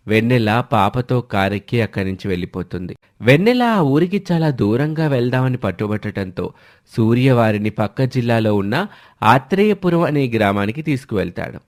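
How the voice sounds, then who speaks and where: Medium-pitched man narrating a story. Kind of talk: scripted dramatic story narration.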